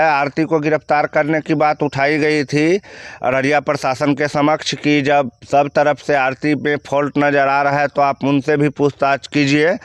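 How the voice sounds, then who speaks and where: A man's voice: fairly high pitch, clean tone, no echo. A man with a Bihari accent giving blunt, outspoken opinions as a news commentator answering questions.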